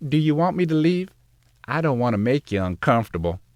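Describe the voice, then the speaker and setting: An actor playing a devoted but anxious, scheming husband in an audio drama. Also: male voice, medium pitch, very animated, brisk, no echo, studio quality, natural voice. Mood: tender, reassuring.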